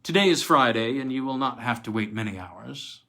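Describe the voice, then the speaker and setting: A man's voice: medium pitch, animated, moderate pace, sharp tone. A man voicing a coy, seductive and faintly sinister noblewoman in a storybook reading, purring and sighing. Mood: coy, promising.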